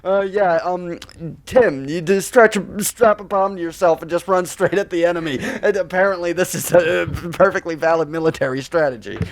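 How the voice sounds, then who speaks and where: A man's voice: high-pitched, moderate pace. A man co-hosting a podcast in casual, opinionated chat, with a rambling, exasperated way of ranting and acting out mock quotes. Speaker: podcast co-host.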